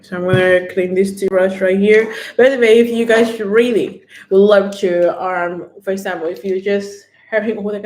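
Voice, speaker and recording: A woman with a Thai accent hosting a beauty livestream, chatting casually as she demonstrates products and pitches discounts. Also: darkish tone, a little hiss or hum. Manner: chatty, keeps repeating "right here", upbeat sales talk. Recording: echoey room.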